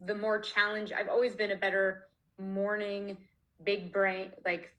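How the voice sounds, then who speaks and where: A woman from Canada talks casually about her work over a video call. Her voice is bright and forceful, set at a middle pitch. She laughs often and restarts her sentences as she goes. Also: low-quality recording. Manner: chatty, laughs often, many fillers and restarts. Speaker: author being interviewed. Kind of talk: casual conversational interview. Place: home, online video call.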